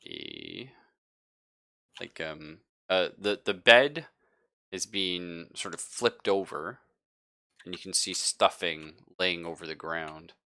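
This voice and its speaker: A man improvising narration as game master of a tabletop roleplaying game, halting and informal as he thinks aloud. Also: low-pitched, creaky, pushed and bright.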